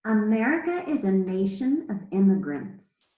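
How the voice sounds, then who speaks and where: A woman with a mid-pitched voice reads aloud as an elementary teacher would. Her delivery is measured and explanatory, with pauses between phrases. The recording is roomy and echoey. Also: sing-song, dark tone. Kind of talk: read-aloud lesson to children.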